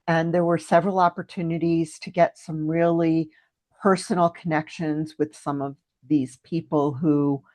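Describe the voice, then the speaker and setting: A middle-aged woman with a lower voice and a French first language, speaking English in a casual podcast interview. She answers thoughtfully, pausing and filling gaps with small hesitations. The sound is dry and close. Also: flat delivery, a little mellow, dry recording. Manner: thoughtful, hesitant with frequent ums. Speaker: guest.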